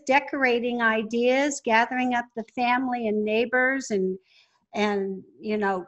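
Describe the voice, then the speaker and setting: A woman's voice: on the high side, lo-fi sound. A woman telling stories conversationally as an interview guest. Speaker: podcast guest, entrepreneur.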